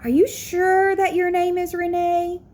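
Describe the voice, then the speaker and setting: A woman voicing a proud, earnest schoolboy in an expressive picture-book read-aloud. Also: very high voice, voice pushed up. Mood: disbelieving. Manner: expressive, deliberate storytelling. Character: proud, earnest young schoolboy narrating his story.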